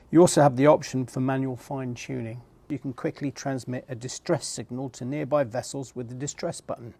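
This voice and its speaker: A middle-aged man presents a product in a plain, explanatory way. His voice sits at a medium pitch and has a noticeable creak to it. The delivery is informal and matter-of-fact. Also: mellow. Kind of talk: informal product overview and demonstration.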